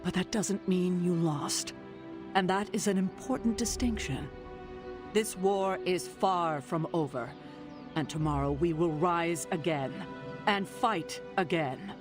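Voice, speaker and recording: A stern leader rallying a superhero team in a video game. The voice sits a little high for a man. Delivery is measured and commanding. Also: music in the background. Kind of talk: video game cutscene acting.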